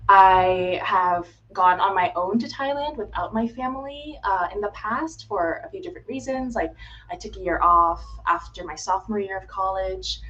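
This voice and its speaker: A woman's voice: mid-range voice, normal speed, fairly bright tone, dull audio. A woman in her twenties from Florida, chatting casually as a guest, with lots of ums and likes. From United States (Florida). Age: 20s. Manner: chatty, frequent "um" and "like", laughs easily. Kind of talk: casual interview chat. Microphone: video call. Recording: faint background noise.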